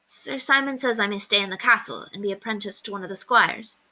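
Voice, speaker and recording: A woman's voice: mid-range voice, brisk, sharp tone. A woman voicing an eager, respectful young page boy in a storybook read-aloud. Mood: hopeful, wistful. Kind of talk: storybook character reading.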